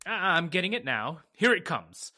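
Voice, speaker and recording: Practical, skeptical male lawyer, played by a male actor, forceful bright tone, lively intonation, vintage radio style. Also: a little high, normal speed, a little hiss or hum, heavily acted. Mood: matter-of-fact. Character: brisk, level-headed male attorney, practical and skeptical. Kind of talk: old-time radio drama acting.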